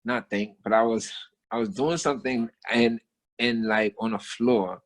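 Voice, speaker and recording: A man's voice: medium pitch, fairly even intonation, a little slow. A man with an American accent talking casually as a guest, telling stories and philosophizing in emphatic, slangy, repetitive phrases. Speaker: guest, street artist. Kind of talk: casual conversational talk and storytelling.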